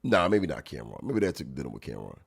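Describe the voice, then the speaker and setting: A man hosting a podcast, a stand-up comic chatting and reacting to clips, with a stuffy nose and loud laughs. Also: rumbling low, fast talker, creaky, breathy edge. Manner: animated, laughs loudly, chatty slang. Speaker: podcast host and stand-up comedian. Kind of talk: casual chat reacting to clips.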